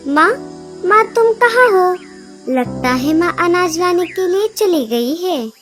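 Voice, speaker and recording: Very high, innocent little boy bird voiced by a woman. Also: lively intonation, takes it slowly, a bit bright, faint music behind, heavily acted. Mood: searching. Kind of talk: animated children's story dialogue.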